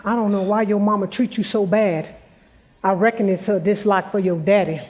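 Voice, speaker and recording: A man's voice: high-pitched, steady tone, moderate pace, dull audio, lightly acted. A man playing a protective, plain-spoken grandmother in a stage play, folksy and weary. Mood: weary, caring.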